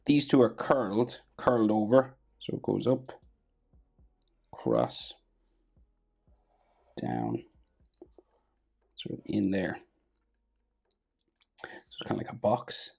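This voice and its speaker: Gravelly Irish man teaching drawing. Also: fairly low pitch, slow, long pauses. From Ireland. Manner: relaxed, thinking aloud while drawing, trailing off quietly. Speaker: drawing tutor. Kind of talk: casual step-by-step drawing lesson.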